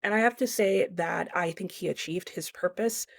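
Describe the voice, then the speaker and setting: A woman's voice: lowish voice, moderate pace, vocal fry. A woman talking casually and explaining her thoughts, as a book reviewer speaking in a vlog. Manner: conversational, explanatory.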